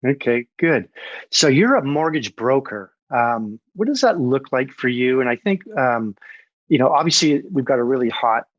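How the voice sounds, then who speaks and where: A man's voice: medium pitch, expressive, normal speed, dry recording. A man hosting a podcast, introducing his guest and asking direct, upbeat questions. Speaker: podcast host. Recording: dry, dull lo-fi sound.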